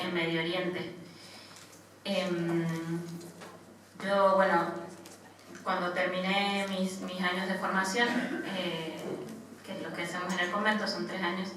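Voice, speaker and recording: An Argentine woman in her thirties, a missionary nun, gives an informal personal testimony in a halting manner, often adding small tag questions. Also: lowish voice. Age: 30s. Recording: echoey room, distant mic, faint noise.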